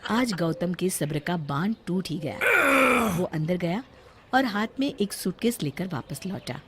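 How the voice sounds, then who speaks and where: A woman's voice: lowish voice, animated, unhurried, noisy background. A woman narrating a scripted story as a storyteller.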